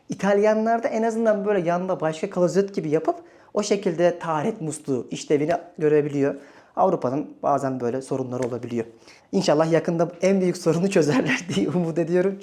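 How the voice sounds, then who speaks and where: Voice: medium pitch, dark tone. A travel vlogger from Turkey talking casually and conversationally, addressing viewers directly.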